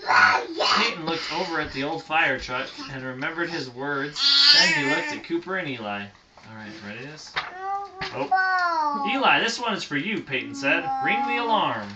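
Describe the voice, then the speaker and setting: A man's voice: on the high side, pushed and bright. A father reading a picture book aloud to a small child at home, stopping to answer as the child points at the pictures. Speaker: father reading a bedtime story. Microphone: phone. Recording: noisy home phone recording.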